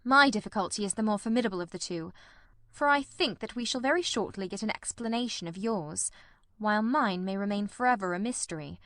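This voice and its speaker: A performer voicing a shrewd, grimly determined male detective in a radio mystery, speaking formally and deliberately. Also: high voice, fairly bright tone, dry recording, studio quality. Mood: analytical. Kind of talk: old-time radio mystery drama.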